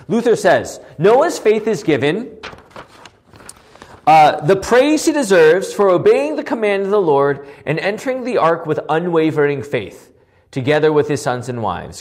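A man with a hoarse voice teaching a Bible passage, asking questions and answering them himself. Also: a little high, a little mellow. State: losing his voice, hoarse. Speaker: pastor teaching a Bible study.

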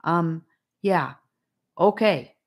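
A woman voicing an excitable, easily confused boy adventurer in a children's fantasy audiobook, with an eager manner. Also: on the low side, drawn-out words. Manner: eager, exclaiming, hesitant when puzzled.

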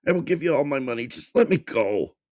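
Casting: man voicing a panicky, whiny hostage, pitched high, pleading hard. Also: animated, a little fast, a little mellow, close to an everyday voice. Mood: begging. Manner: shrill, begging, pleading. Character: panicked whining captive man.

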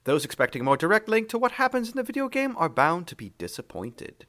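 Male comedy podcaster, slightly high and animated, droll read-aloud delivery. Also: quick pace. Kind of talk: reading reviews aloud, joking.

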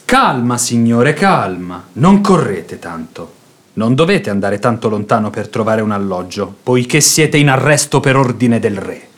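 An actor playing a calm, commanding officer in a radio drama, measured and ironic. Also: male voice, medium pitch, natural voice. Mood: cool authority. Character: calm, commanding royal officer making an arrest.

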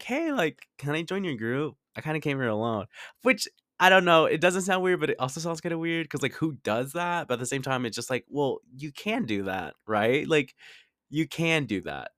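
A man's voice: mid-range voice, expressive, normal speed, a bit bright, no room sound, clean studio sound. A man in his twenties telling personal stories in a casual, confessional, chatty way, very informal, with lots of "like" and some swearing. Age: 20s. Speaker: podcast host.

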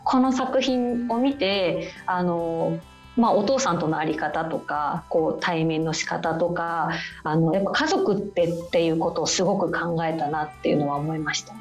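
A woman's voice: lowish voice, normal speed, faint music behind. A woman speaking as a radio interview guest, explaining things politely in a conversational, somewhat hesitant way. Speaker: guest, film publicist.

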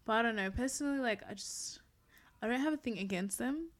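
A young woman speaks in a fairly high voice, hesitant and soft, often trailing off mid-thought. She sounds unhurried and chatty. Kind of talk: casual chat.

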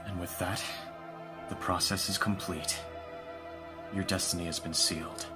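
A man playing a composed vampire lord in an audio roleplay, speaking formally and with calm authority to a servant. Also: sits low, measured pace. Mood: solemn, persuasive. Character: lordly young-sounding male vampire master, calm and commanding. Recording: music behind the voice. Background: music.

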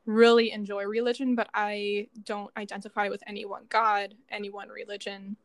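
A woman's voice: medium pitch, flat delivery, normal speed, no echo, studio quality. A young adult woman co-hosting a podcast in casual, reflective conversation. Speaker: podcast co-host, doctoral student in psychology.

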